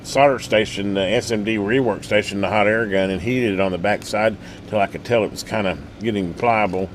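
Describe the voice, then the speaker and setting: Folksy, low-voiced man over steady noise. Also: fairly quick. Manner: folksy, colloquial, rambling. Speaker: radio repair hobbyist and channel host. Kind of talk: casual workbench demonstration, rambling. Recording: steady background hum and noise.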